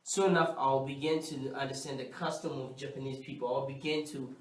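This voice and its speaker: A man's voice: mid-range voice, steady tone, fairly quick. A man debating casually on a panel, insistently repeating phrases to hold the floor. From grew up half in Africa, half in the United States. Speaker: talk show panelist. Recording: echoey room, distant microphone.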